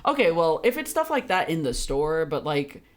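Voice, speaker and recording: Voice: mid-range voice, big pitch swings, moderate pace. A young adult game streamer chatting casually with viewers in a sweary, giggly, teasing manner. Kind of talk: casual livestream chat with viewers.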